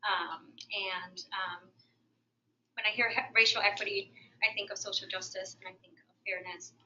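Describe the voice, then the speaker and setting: A woman's voice: medium pitch, fairly even intonation, moderate pace, pushed and bright, slight background noise. A woman from Puerto Rico with a Puerto Rican accent, introducing herself hesitantly with a lot of fillers. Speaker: workshop participant. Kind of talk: self-introduction. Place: meeting room. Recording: dull lo-fi room recording.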